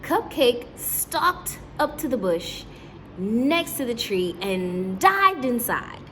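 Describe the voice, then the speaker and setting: A woman's voice: a little high, very animated, slow, a bit bright, slight background noise. A woman teacher reading a story aloud to young children, breaking off to question and explain things to her listeners.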